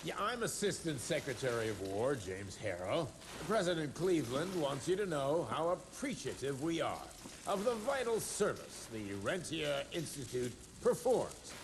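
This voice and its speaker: An actor playing a genial, bumbling government official in a video game, speaking in a hesitant, folksy, self-satisfied way with a creaky voice. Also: male voice, on the high side, noisy background. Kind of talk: video game acting of a public address.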